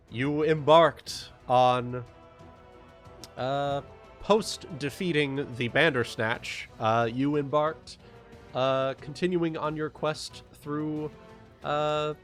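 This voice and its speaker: A man casually chatting as game master of an online tabletop game, talking in a halting, unscripted way and swearing freely. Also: a little high, fairly bright tone. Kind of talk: casual chat and off-the-cuff game recap. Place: online group voice call. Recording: background music behind the voice.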